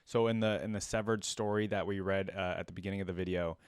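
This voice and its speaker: A man's voice: sits low, steady tone, fast, clear, resonant tone, no room sound, clean studio sound. A man hosting a reaction video, giving casual spoken commentary.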